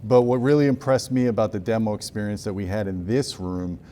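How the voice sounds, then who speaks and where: A man's voice: on the low side, fairly even intonation, fairly quick, dark tone, a little hiss or hum. A man in his forties hosting a casual trade show interview in a large hotel demo room, on a lapel mic. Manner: casual, upbeat questions.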